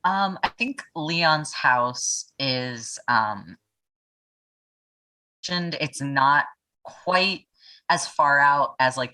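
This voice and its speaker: A woman tells an improvised tabletop game story in a notably low voice with a bright, crisp edge. She talks casually and thinks out loud, feeling her way from phrase to phrase. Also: poor audio. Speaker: tabletop role-playing game player.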